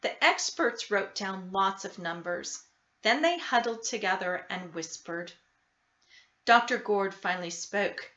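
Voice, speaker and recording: A woman reading a children's picture book aloud expressively, with deliberate dramatic emphasis. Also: mid-range voice, expressive, a bit bright. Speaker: storybook reader. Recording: roomy, slightly dull sound.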